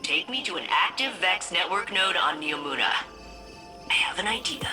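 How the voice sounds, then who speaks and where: A woman's voice: fairly low pitch, animated, normal speed, bright, forceful tone, faint music behind. An actress playing a quirky, anxious, chatty female AI companion in a video game, with nervous, wry asides. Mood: eager, wry. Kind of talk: video game character dialogue.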